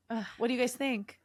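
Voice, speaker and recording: A woman's voice: on the high side, background noise. A woman co-hosting a podcast, chatting casually. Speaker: podcast co-host. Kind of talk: casual chat.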